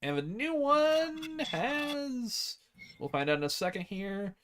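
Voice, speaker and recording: Lively, high-pitched man reviewing casually. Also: takes it slowly, a little hiss or hum. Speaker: podcast co-host. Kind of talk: casual chat, film review.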